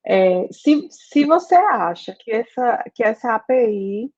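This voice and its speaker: A woman's voice: on the high side, big pitch swings, slow, darkish tone. Brazilian woman teaching with dull audio. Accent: Brazilian Portuguese. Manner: conversational, frequent "né?" and "tá?" checks. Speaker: programming teacher. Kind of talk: live online class, asking students questions.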